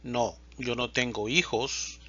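A man teaching a Spanish lesson, reading example questions and answers slowly and distinctly. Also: mid-range voice, fairly even intonation, sharp tone, highs cut off. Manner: reads questions and answers slowly and distinctly, pausing between lines. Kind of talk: slow, clear language lesson reading example dialogues.

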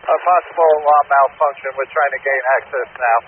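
A male firefighter calls in short, halting reports from the field. His voice is squeezed through a narrow, phone-like radio band with a clear wash of static. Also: mid-range voice. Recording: tinny scanner radio with heavy static.

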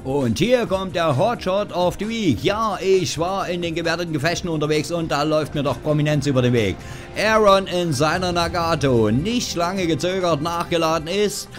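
A man's voice: fairly high pitch, moderate pace. A man hosting a gaming news show, reading a scripted voice-over with wry joking asides. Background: background music.

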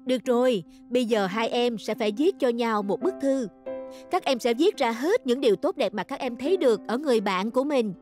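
A woman's voice: fairly high pitch, lively intonation, fairly quick, a little mellow, soft background music, close to an everyday voice. A woman voicing a patient, concerned schoolteacher in a cartoon dub. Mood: patient, firm. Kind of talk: cartoon dubbing.

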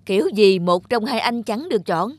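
Casting: a chatty office colleague for an animated series, casual teasing delivery. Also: male voice, sits high, normal speed, no room sound. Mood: gossipy, flattering. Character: chatty male office worker gossiping with colleagues. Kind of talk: animated drama dubbing.

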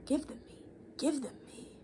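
A covetous storybook goblin, read by a woman, pleads and yearns in a soft, breathy voice. The demands are insistent, over a faint room hiss. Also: measured pace, natural voice. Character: pleading, covetous goblin howling for glass beads.

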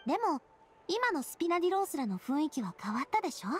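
A woman playing an elegant, warm-hearted young lady boss in an anime game, sincere and expressive. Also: sits high, fast talker. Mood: gentle, reflective. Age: young adult. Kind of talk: Japanese anime game voice acting.

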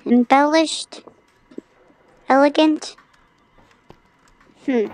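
A woman's voice: high-pitched, steady tone, drawn-out words, darkish tone. A woman streaming a video game with chatty, playful, mock-dramatic commentary. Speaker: gaming streamer. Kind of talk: casual livestream gameplay commentary.

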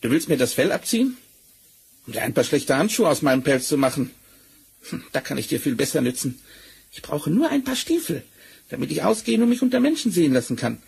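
An actor voicing a boastful, cheerful talking tomcat in a theatrical fairy-tale radio play from the seventies. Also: male voice, fairly high pitch, a little fast, gravelly, husky, heavily acted. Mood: indignant, persuading. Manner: theatrical, self-satisfied, flattering. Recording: noisy old vinyl record rip.

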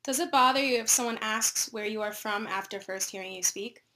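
Higher-voiced woman asking interview questions. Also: a little fast, music in the background. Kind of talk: reading prepared interview questions.

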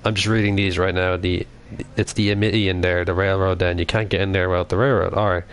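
A man's voice: low voice, level tone, fast talker, clean tone. A young Irish man from Dublin commentating his game live, rambling, swearing and answering chat. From Ireland. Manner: rambling, swears often, reads chat aloud. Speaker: livestreaming gamer. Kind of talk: casual live commentary while playing.

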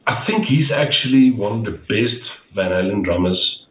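A man's voice: on the low side, lively intonation, normal speed. A middle-aged man with an Afrikaans accent, speaking as a guest in a casual interview over a video call, rambling and often repeating his words. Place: dim home room. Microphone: headset on a video call. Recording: echoey tinny video-call audio.

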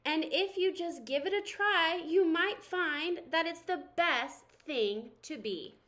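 A woman's voice: extremely high pitch, lively intonation, slow pace, a bit bright, exaggerated voice. A woman voicing an anxious, earnest toy spork from a storybook, speaking emphatically and pleadingly. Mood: earnest, encouraging.

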